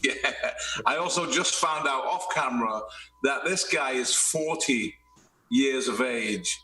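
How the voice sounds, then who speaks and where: A man's voice: medium pitch, unhurried, pushed and bright, roomy sound, far from the mic, rough recording. A man hosting a podcast, chatting in a casual, upbeat and complimentary way. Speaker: podcast host. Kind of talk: casual interview chat.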